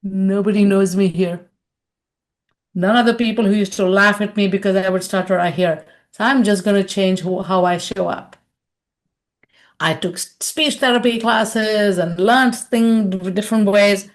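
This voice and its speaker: A woman's voice: mid-range voice, fairly even intonation, measured pace. A middle-aged woman from India answering a question in a webinar, talking conversationally and acting out quoted voices over a video call. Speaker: webinar speaker and consultant.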